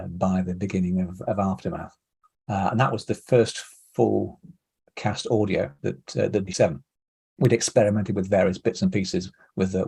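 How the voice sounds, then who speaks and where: A man's voice: on the low side, vocal fry, a little mellow, slightly rough. A man giving a thoughtful, digressive interview in a hesitant, conversational way. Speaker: producer being interviewed.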